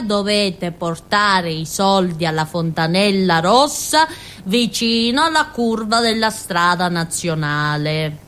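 Casting: naive village simpleton girl, Calabrian accent, mid-pitched, excitable and childlike, confiding radio-play monologue. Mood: slow, solemn. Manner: childlike, colloquial storytelling with frequent giggles and asides.

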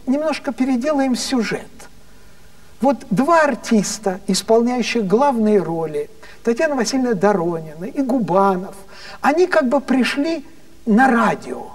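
A man voicing a genteel, excitable theatre director in an anecdote, eagerly coaxing as he improvises. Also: very high voice, recorded from a distance, voice pushed up, full character voice.

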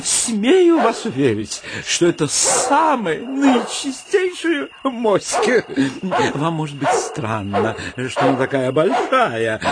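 Актёр играет напыщенного и серьёзного господина-жениха с сипловатым голосом, почтительной витиеватой речью, в манере комедийного радиоспектакля. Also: male voice, fairly high pitch, exaggerated voice. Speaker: actor in a radio comedy play. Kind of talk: theatrical radio comedy acting.

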